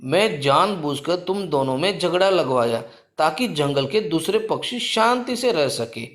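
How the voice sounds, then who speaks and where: A man's voice: fairly high pitch, expressive, measured pace, fairly bright tone. A man voicing a plucky, protective mother sparrow in a cartoon story, pleading and melodramatic. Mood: proud, grateful.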